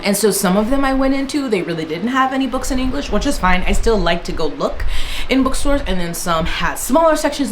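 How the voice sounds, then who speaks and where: A woman's voice: lowish voice, a bit bright, slight background noise, poor audio. A woman chatting casually on camera, rambling with fillers and restarts. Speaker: book vlogger. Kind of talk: casual book haul chat. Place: indoors, in Germany.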